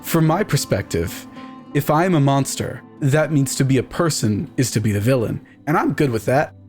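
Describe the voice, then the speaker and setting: A man's voice: medium pitch, expressive, normal speed, music in the background. A man speaking as a podcast guest, discussing fantasy games in an emphatic, candid, opinionated way. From Canada. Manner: emphatic, candid, swears casually. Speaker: podcast guest, game writer.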